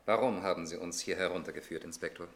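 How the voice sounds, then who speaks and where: An actor playing a sceptical, argumentative stepson in a 1960s German radio play, questioning in a pointed, challenging way. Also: male voice, deep voice, fast talker.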